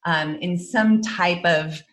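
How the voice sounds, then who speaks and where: A woman who grew up in the Netherlands, a guest author telling her story in a relaxed, reflective interview. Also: on the low side, slow pace. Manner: reflective, earnest, many fillers like um and you know. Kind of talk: casual interview chat.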